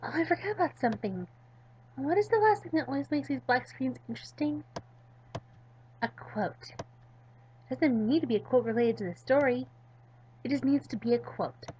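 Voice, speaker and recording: A woman voicing a goofy, excitable, ditzy teenage schoolgirl from a visual novel, rambling and dramatic. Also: high voice, normal speed, pauses often, lo-fi sound, a little hiss or hum. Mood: dramatic, self-important.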